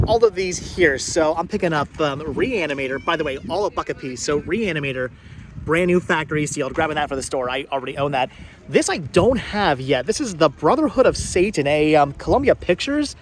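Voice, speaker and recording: A man chatting casually as a vlog host outdoors at a flea market, often repeating and doubling back on his phrases. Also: fairly high pitch. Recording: noisy outdoor flea market location sound.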